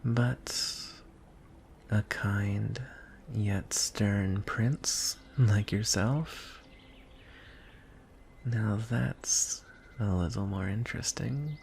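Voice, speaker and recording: A man voicing a lonely, courtly dragon in a fantasy ASMR roleplay, speaking softly and breathily in measured, formal phrases. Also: on the low side, slight background noise, full character voice. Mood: wistful, longing. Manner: soft, hushed, breathy delivery with deliberate pauses. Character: lonely, courtly male dragon wooing a prince.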